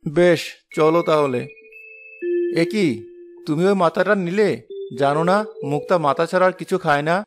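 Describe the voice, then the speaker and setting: A man voicing a plain-spoken family man in an animated moral story. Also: on the high side, moderate pace, pauses often, over music, lightly acted. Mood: mild.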